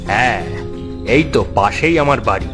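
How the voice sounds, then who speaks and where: Middle-aged villager male actor, lowish voice, very measured, solemn horror storyteller over music. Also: animated. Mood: wary, earnest. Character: middle-aged villager, grave teller of local curse. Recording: loud music under the voice.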